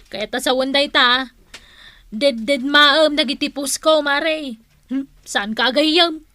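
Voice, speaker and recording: A woman's voice: high-pitched, takes it slowly, sharp tone, heavily acted. An actress playing a bossy, vain woman in a radio comedy drama, insistent and nagging. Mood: pestering, sulky. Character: bossy, vain woman hooked on Facebook likes.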